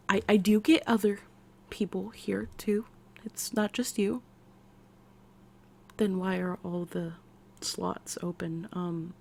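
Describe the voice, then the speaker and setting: A woman with an American accent playing an eccentric, eager military scientist acting as therapist in an intimate, chatty ASMR roleplay that dips into soft whispers. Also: mid-range voice. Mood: brisk, flustered.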